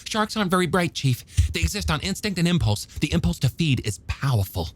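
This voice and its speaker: Male reader as a young shark expert, eager, bookish, testy, stammering, highly animated pitch, audiobook dialogue. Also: a little fast. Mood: earnest. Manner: stammering, emphatic explaining.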